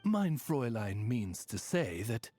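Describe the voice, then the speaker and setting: A man playing a refined, deferential raven familiar in a video game, speaking formally with a husky voice. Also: mid-range voice, very animated, unhurried, mellow, soft background music. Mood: courteous, measured. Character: formal, courteous raven familiar serving a princess. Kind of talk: video game character dialogue.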